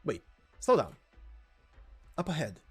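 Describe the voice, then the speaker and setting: A wary soldier on a snowy border patrol in a game story, played by a man. The husky voice moves around a lot in pitch and talks in terse, questioning bursts, with faint music behind. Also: huge pitch swings, slow pace, heavily acted. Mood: puzzled, alert.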